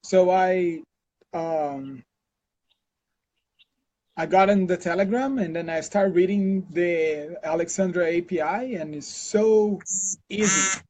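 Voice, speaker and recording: A Brazilian man with a Brazilian accent, answering interview questions in a relaxed, chatty way full of fillers and restarts, over a video call. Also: high-pitched, slow, long pauses. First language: Portuguese. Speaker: interview guest, scientist. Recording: dull lo-fi call audio.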